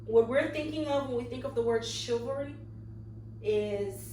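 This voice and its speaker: A woman of thirty, co-hosting a casual podcast chat, talking slowly and thoughtfully and trailing off quietly. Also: a little high. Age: 30s. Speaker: podcast co-host. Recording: echoey room, mic at a distance, faint hum.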